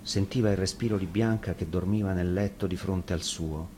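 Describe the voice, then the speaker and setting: A man with a fairly low voice tells a children's fairy tale from a script. He works as the storyteller, and faint noise sits behind the recording. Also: steady tone, normal speed, mellow.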